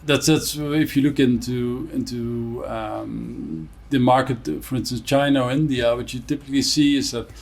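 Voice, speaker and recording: A man from the Netherlands with a Dutch accent, answering interview questions as a company chief in a measured, explanatory way and repeating words as he forms his thoughts. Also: medium pitch, steady tone, creaky. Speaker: chief executive of a hotel company, interview guest.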